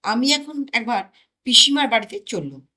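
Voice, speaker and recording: A woman's voice: a little high, sing-song, normal speed, pushed and bright, heavily acted. A woman voicing a cocky teenage boy who spins boastful tall tales in a scornful, emphatic way for an audio story.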